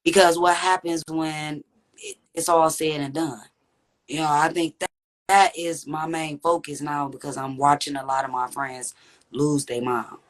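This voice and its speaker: A woman with a deep, raspy voice, speaking candidly and reflectively as a podcast guest. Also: little pitch movement, unhurried. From Texas. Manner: reflective, earnest, with frequent "you know what I'm saying". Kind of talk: candid conversational interview.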